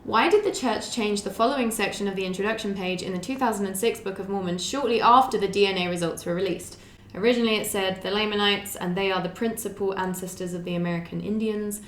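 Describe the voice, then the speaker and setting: A woman's voice: mid-range voice, fast. A woman co-hosting a casual reaction chat, reading aloud and commenting in a blunt, sweary, wry way. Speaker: co-host. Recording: roomy echo, faint background noise.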